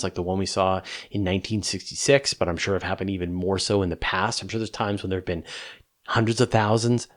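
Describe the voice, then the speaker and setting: A man hosting a question-and-answer show, explaining things in a casual, enthusiastic and emphatic conversational way. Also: fairly low pitch.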